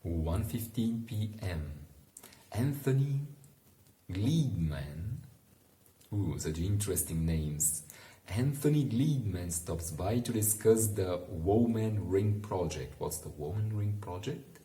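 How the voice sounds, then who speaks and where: Male bedtime reader, very low and unhurried, informal and musing, recorded in a roomy, echoing space. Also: expressive, warm tone. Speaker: bedtime story reader and commentator. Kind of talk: relaxed read-aloud with casual asides to help listeners sleep.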